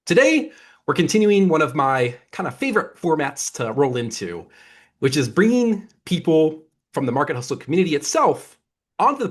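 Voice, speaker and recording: Expressive, husky male podcast host. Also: a little high. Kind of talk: upbeat podcast interview.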